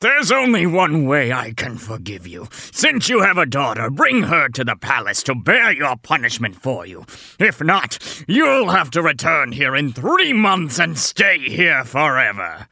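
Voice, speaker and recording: Forceful, slow-speaking male beast. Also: medium pitch, wildly swooping pitch, gravelly, no echo, voice pushed down, full character voice. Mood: stern, menacing. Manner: slow, emphatic, theatrical exclamations. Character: fierce beast with lion head, male, gruff but lonely.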